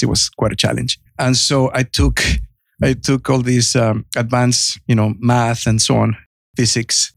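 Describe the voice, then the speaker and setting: A man in his fifties from Mexico with a heavy Mexican accent, a native Spanish speaker telling his story as an interview guest in a halting, self-effacing way. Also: medium pitch, vocal fry, dry recording. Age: 50s.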